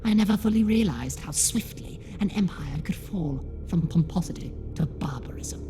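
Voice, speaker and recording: A man voicing a grave, formal, pleading horned alien in an audiobook, with a soft, breathy delivery. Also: fairly high pitch, expressive, moderate pace, over music, voice pushed up, close to an everyday voice. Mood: solemn regret. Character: grave, desperate horned alien named Yoon seeking help. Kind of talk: audiobook character voice.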